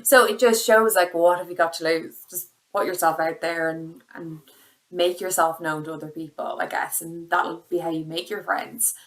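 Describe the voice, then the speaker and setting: A woman's voice: lowish voice, steady tone, moderate pace, poor audio. A young woman who moderates a webinar panel over a video call, sounding enthusiastic and encouraging.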